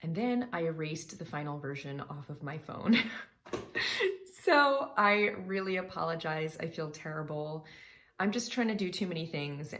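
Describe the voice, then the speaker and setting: A woman's voice: low-pitched, vocal fry, a bit bright. A woman vocal coach talking casually to her viewers, chatty, explaining song lyrics line by line. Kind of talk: casual reaction vlog talk.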